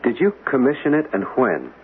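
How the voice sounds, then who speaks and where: A man's voice: mid-range voice, huge pitch swings, a little fast. An actor playing a polite, inquisitive young man in a radio mystery, courteous and questioning. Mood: earnest, probing. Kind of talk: radio mystery drama acting. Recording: muffled old radio broadcast, faint noise.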